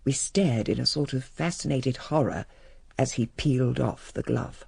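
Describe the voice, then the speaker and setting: A creaky-voiced woman narrator, telling a radio mystery vividly. The sound is very dry and close. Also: very deep voice, normal speed, a little mellow, no room sound, exaggerated voice. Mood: dramatic, hushed. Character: narrating witness, dramatic and vivid storyteller. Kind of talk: scripted drama narration.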